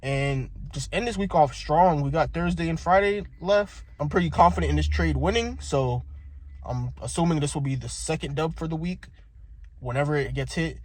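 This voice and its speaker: A young man vlogging about his day trading in a relaxed, slangy, rambling way, full of fillers. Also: on the high side, moderate pace. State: congested with a sore throat. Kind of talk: casual vlog chat.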